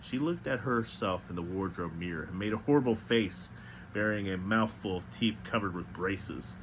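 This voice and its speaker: A man reading a novel aloud as narrator, with a slightly halting delivery that stumbles now and then. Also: fairly low pitch, slight background noise, poor audio. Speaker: storybook reader.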